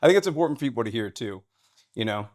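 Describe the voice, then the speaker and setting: A man hosting a podcast interview, casual and encouraging, with quick affirmations. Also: mid-range voice, animated, a little mellow. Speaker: podcast host.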